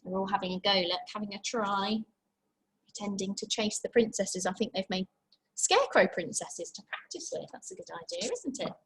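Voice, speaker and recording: A woman's voice: medium pitch, lively intonation, moderate pace, rough recording. A woman teacher reading a picture book aloud to young children, expressively, with simple chatty asides and questions. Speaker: infant school teacher.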